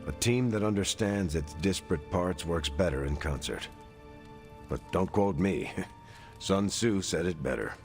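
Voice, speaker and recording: A man's voice: deep voice, lively intonation, measured pace, soft background music. A man voicing an easygoing, sincere superhero in a video game, chatting casually with a wry touch. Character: easygoing, friendly male superhero, sincere and jokey. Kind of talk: video game cutscene acting.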